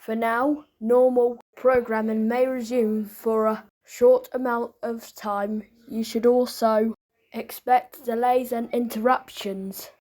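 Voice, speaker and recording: A woman's voice: a little high, flat delivery, slow, a little mellow, poor audio. A woman continuity announcer reading a scripted emergency announcement in a formal manner.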